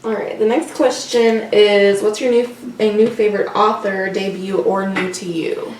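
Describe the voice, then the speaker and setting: A woman's voice: medium pitch, steady tone, normal speed, a little mellow. A woman co-hosting a book vlog in casual, unscripted chat, talking hesitantly as if thinking aloud. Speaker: co-host of a book discussion vlog. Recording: echoey room, distant mic, faint noise.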